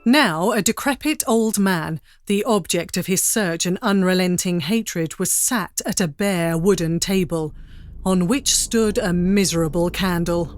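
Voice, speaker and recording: A woman giving measured, literary narration for a dramatised story. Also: lowish voice, dry recording, soft background music.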